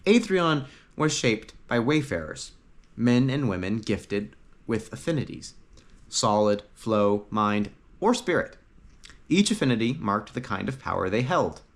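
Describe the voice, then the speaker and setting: A man reads a fantasy tale as an audiobook narrator. His medium-pitched voice rises and falls widely, and he sets off short phrases with dramatic pauses for emphasis. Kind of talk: scripted fantasy story narration.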